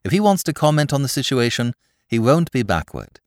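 A man voicing a suave young gentleman and former crook, calm and dryly ironic. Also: medium pitch, brisk, no echo, clean studio sound, voice pushed up, natural voice.

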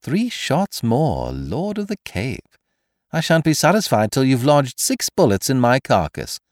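A man acts out a smug gentleman villain from a storybook. He taunts with theatrical courtesy and teasing mockery, his pitch swinging sharply up and down in a dry, close recording. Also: huge pitch swings, unhurried, no echo. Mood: taunting, amused. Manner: mock-polite, theatrical, teasing.

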